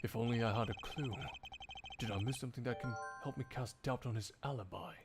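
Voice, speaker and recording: A man voicing a composed, analytical male prosecutor with a formal, deliberate manner in a game fandub. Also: medium pitch, loud music behind the voice, lightly acted. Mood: brooding.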